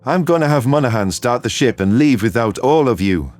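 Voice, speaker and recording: Man as an exasperated, animated human guy. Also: mid-range voice, fairly quick, faint music behind, natural voice. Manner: indignant, protesting.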